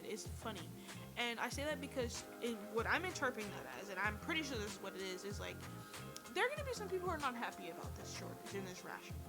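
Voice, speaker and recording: A woman giving a casual, animated recap of a TV drama, with profanity and slang, talking straight to her chat. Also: a little high. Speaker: TV series reviewer.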